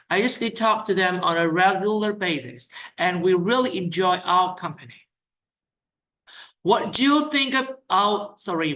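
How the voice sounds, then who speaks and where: Bright-voiced IELTS teacher. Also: mid-range voice, rough recording. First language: Vietnamese. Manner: reads out questions and answers, corrects own slips with "sorry". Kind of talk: reading sample exam answers aloud.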